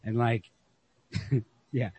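A man's voice: mid-range voice, fairly even intonation, drawn-out words, a little mellow, slightly rough, dry recording. A man in a casual literary chat as a podcast host, musing and thinking aloud with frequent swearing. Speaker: podcast host discussing a short story. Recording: lo-fi podcast recording.

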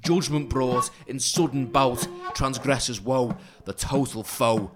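Slow, solemn man playing an old-verse chronicler. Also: mid-range voice, close to an everyday voice. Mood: fierce lament. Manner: solemn, declamatory, measured. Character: grave male chronicler reciting dire old verse. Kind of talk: dramatic alliterative verse recitation. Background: music.

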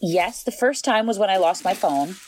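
A woman playing an excitable, superstitious and insistent woman in a two-person audio comedy play. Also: mid-range voice, normal speed, slight background noise, lightly acted. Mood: excited, insistent. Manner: insistent, dramatic, pleading. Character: excitable superstitious woman convinced her crossword predicts the future.

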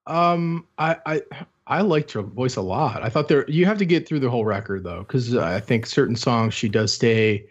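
A man's voice: medium pitch, lively intonation, moderate pace, dull audio. A man co-hosting a podcast, talking through an album in a thoughtful, meandering way with many restarts. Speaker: podcast co-host. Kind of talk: casual album discussion.

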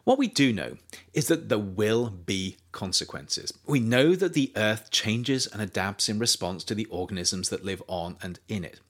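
A man reading his own nonfiction book aloud as audiobook narration, measured and thoughtful, lifting his voice on key phrases. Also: on the low side, animated.

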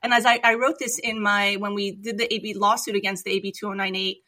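An Iranian-born woman physician, a guest on a podcast, speaking earnestly and in measured phrases. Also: mid-range voice, flat delivery, sharp tone. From Iran. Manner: earnest, measured, with light laughs. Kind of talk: reflective interview talk.

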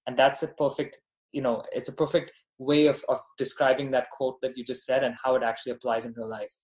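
A man's voice: mid-range voice, little pitch movement, brisk. Earnest man chatting as podcast guest. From born in Bahrain, grew up in Dubai. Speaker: podcast guest, company founder. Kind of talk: casual conversational interview.

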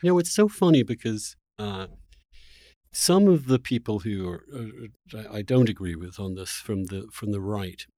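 A man hosts a casual but spirited conversation with a widely swinging, expressive pitch. He moves from halting phrases to emphatic rhetorical questions, recorded dry and without room echo. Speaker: interview host.

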